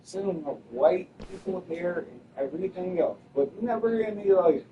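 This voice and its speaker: A man's voice: sits high, normal speed, distant mic, highs cut off, slight background noise. Joking young man, a little off-mic. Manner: loud, joking, profane banter. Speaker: friend watching the game. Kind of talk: casual chat.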